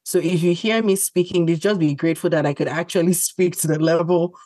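Low-voiced woman, casual podcast chat. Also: fairly even intonation, brisk, mellow, dry recording. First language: not English. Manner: conversational, thoughtful, many fillers and restarts. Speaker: podcast co-host.

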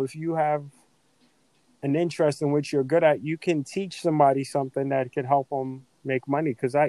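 A man's voice: on the high side, a little mellow, no room sound, low-quality recording. A man co-hosting a podcast, making his points earnestly and persuasively in casual conversation. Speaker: podcast co-host.

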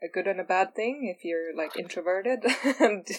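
A woman's voice: fairly low pitch, lively intonation, moderate pace. A woman in easygoing casual chat, agreeing and laughing along.

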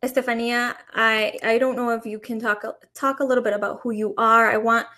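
A woman's voice: on the high side, flat delivery, a little fast, pushed and bright. A woman hosting a casual interview, asking friendly questions with many fillers. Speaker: podcast host.

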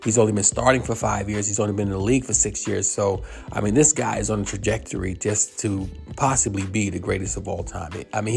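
A man in his fifties, from New York, gives a solo sports talk. His voice sits low with a gravelly edge. He rambles, circling back with fillers and restarts, and faint music plays underneath. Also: a little mellow. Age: 50s. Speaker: podcast host. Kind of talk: solo sports commentary monologue.

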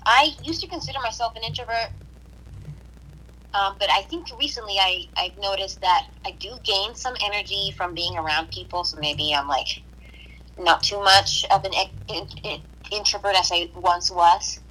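Bright-voiced hesitant woman from Puerto Rico. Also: mid-range voice, normal speed, low-quality recording. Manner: hesitant, with restarts and soft laughs. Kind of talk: casual conversation.

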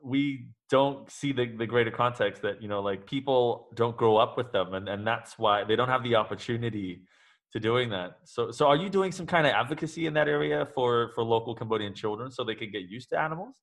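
Halting male interviewer, repeating words. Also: mid-range voice, fairly bright tone. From New Zealand and Singapore. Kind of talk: conversational interview.

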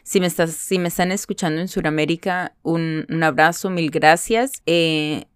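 A woman's voice: low-pitched, steady tone, fairly quick, smooth, dry recording. Stuffy-nosed chatty woman podcast host. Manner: chatty, unscripted, many fillers and restarts, switches into Spanish phrases. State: stuffy from a cold, slight cough. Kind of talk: solo podcast monologue.

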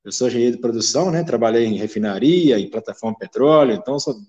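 A man's voice: mid-range voice, quick pace, warm tone, dull audio. A man in his thirties, a glazing business owner, talking frankly and chattily on a live stream. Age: 30s. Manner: frank, chatty, many filler "né". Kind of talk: casual live-stream talk.

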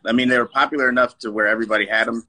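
A man's voice: medium pitch, fairly even intonation, bright, forceful tone, low-quality recording. A man in casual comedic chat, slangy and easygoing in agreeing with his partner. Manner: slangy, agreeable, quick to chuckle.

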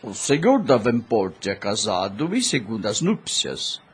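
An actor playing a calm, informative priest in a Brazilian radio soap, explaining in a measured way with a Brazilian accent. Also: male voice, fairly low pitch, breathy edge, full character voice. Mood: matter-of-fact.

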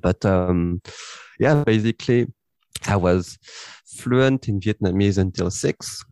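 Laid-back deep-voiced man, French-raised. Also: slow pace, no echo. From France. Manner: relaxed, rambling, with frequent fillers and light laughs. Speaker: podcast guest. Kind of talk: casual interview chat.

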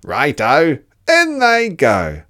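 Storyteller putting on a high, excitable dingo cook. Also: very slow, exaggerated voice. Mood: eager, gleeful. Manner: excitable, singsong, exclaiming. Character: boastful, gleeful male dingo cook.

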